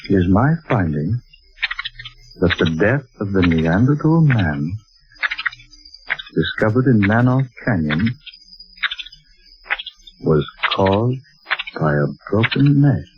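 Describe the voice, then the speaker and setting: Solemn investigator, very low voice, slow, clipped, 1940s radio drama. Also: male voice, big pitch swings. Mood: grim. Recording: muffled noisy 1940s radio transcription.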